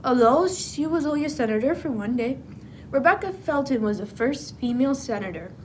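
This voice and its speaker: A woman with a fairly high voice reads a scripted news report. The recording carries a faint noise with some low rumble under her voice. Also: animated, brisk, darkish tone. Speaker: news reporter in a student history project.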